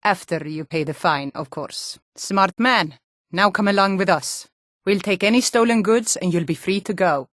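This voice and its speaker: A woman's voice: medium pitch, moderate pace, fairly bright tone, no echo, studio quality, natural voice. An actress voicing a tough Nord guard and bandit woman in a fantasy video game, stern, threatening and taunting. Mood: stern, grudging. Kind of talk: video game character voice lines.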